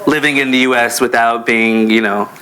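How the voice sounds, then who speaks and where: Bright-voiced man moderating a panel. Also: medium pitch, steady tone, normal speed. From Israel. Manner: chatty, self-deprecating, many fillers. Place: conference hall.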